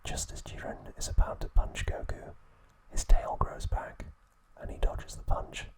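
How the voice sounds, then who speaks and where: A man speaks entirely in a breathy whisper, as the host of an ASMR video. He narrates a recap softly and in measured, short phrases.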